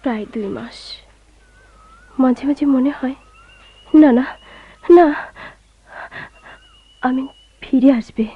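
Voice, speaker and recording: A woman's voice: high-pitched, slow, long pauses, full character voice. A woman acting a grieving, faithful woman who waits for her lost beloved, in a wistful, theatrical radio drama style. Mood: anguished, disbelieving. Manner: theatrical, wistful, breaking into anguished outbursts. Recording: dull lo-fi radio recording, clearly noisy.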